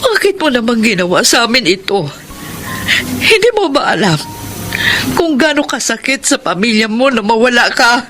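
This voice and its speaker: A woman playing a hardworking, earnest widowed mother in a radio drama, with a Filipino accent and a tender, halting delivery. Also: on the high side, big pitch swings, fairly bright tone, faint music behind. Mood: shocked, hurt.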